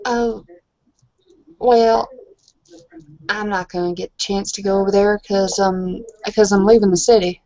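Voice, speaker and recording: A woman playing a shy, embarrassed woman in a tabletop roleplay, speaking haltingly, with hesitant pauses. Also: mid-range voice, fairly even intonation, warm tone, dry recording, lo-fi sound, lightly acted. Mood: sad, hesitant. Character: shy, embarrassed woman saying goodbye to friends.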